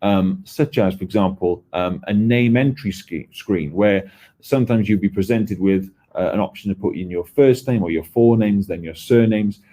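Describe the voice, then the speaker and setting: A man's voice: fairly low pitch, normal speed, dark tone. A man from Wales, giving a conversational conference presentation on user research, with frequent ums and restarts.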